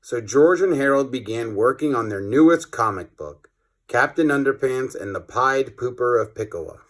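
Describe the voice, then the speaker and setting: Guy reading a kids chapter book out loud, expressive teacher storytime narration. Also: mid-range voice, normal speed.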